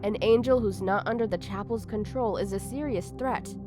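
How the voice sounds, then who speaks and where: An actress playing a calm, authoritative handler of angels in a sci-fi visual novel, speaking in a grave, deliberate way. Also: female voice, mid-range voice, music in the background, natural voice.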